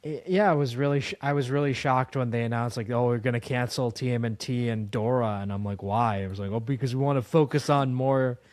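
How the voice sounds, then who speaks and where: A man's voice: medium pitch, fairly quick, hardly any pauses, a little mellow, no room sound, studio quality. A man hosting an interview in casual chat, talking like an exasperated fan. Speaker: interview host.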